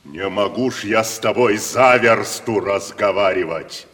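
An actor in a theatrical radio play, playing a blustering, pompous gentleman tragedian with grandiose, thunderous declamation. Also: fairly low pitch, a little slow. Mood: thunderous indignation. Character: blustering, pompous, chivalrous tragedian posing as nobleman. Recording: reverberant 1970s radio play studio.

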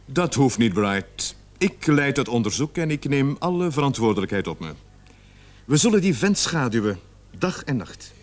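An actor playing a stern police sergeant in a radio play, speaking formally and with measured authority. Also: male voice, mid-range voice, sing-song, close to an everyday voice. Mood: commanding. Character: stern police sergeant leading a murder investigation.

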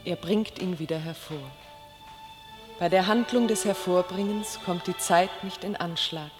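Slow, solemn woman reciting over music. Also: on the low side. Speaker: reader of a literary quotation. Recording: reverberant hall, music behind.

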